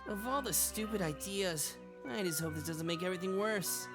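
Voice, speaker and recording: A woman's voice: mid-range voice, moderate pace, close to an everyday voice. A woman plays an anxious student deputy with a mid-range voice. The delivery is careful and a little exasperated, like the sensible one in a story game. Music plays behind the lines. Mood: worried, exasperated. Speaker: voice actor in a fan dub. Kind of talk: game dub dialogue. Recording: music under the voice.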